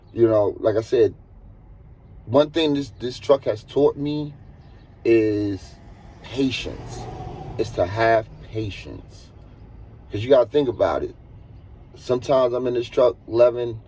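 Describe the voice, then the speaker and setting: Husky-voiced New man, earnest and emphatic. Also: lowish voice, mellow. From United States. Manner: earnest, emphatic, repeats points for stress. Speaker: truck driver and vlogger. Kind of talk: casual advisory monologue.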